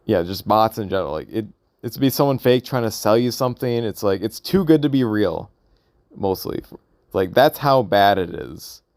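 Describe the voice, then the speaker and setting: A man's voice: lowish voice, lively intonation, darkish tone, no room sound, clean studio sound. A man thinking aloud in a casual, unscripted vlog monologue, hesitant and rambling as he works through his thoughts. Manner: hesitant, halting, rambling with repeated questions. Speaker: vlogger recording an audio log.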